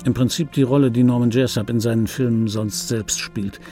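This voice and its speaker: An actor playing a wry, self-important male film dubbing artist who recounts his life in a radio play monologue, with dry irony and pointed, repeated phrasing, in a gravelly, husky voice. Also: medium pitch, fairly even intonation, brisk, mellow, faint music behind, natural voice. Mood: dry, ironic. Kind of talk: intimate radio drama monologue.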